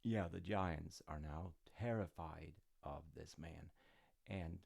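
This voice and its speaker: A man's voice: low-pitched, slow, gravelly, darkish tone. A man retelling a fairy tale in a casual, rambling way with informal, wry asides. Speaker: storyteller dad character in audio roleplay.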